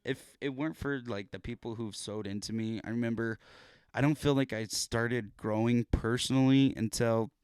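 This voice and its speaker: A man's voice: medium pitch, fairly even intonation, normal speed, a little mellow, clear, resonant tone, no echo. A young man hosting a casual podcast, talking earnestly and rambling with restarts and fillers into a podcast mic. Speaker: podcast host.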